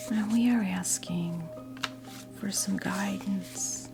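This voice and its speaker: A woman in her fifties with an American accent gives an ASMR tarot reading in a breathy whisper. Her delivery is very slow and gentle, with a gravelly crackle at the edges. Music plays clearly behind her. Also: medium pitch. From New Jersey, United States. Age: 50s. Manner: soothing, conversational, halting and gentle. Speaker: ASMR creator role-playing a witch tarot reader. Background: soft background music.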